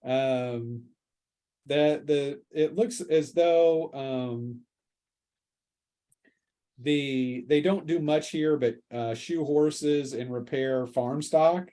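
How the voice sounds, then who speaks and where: Halting male game master, slightly high-pitched. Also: mellow. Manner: halting, hesitant, improvising. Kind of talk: improvised tabletop game narration.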